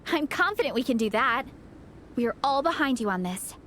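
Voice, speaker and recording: A woman's voice: high voice, animated, moderate pace, fairly bright tone, a little hiss or hum. A woman voicing a supportive, confident young athlete in a video game, sincere and encouraging in the way she talks.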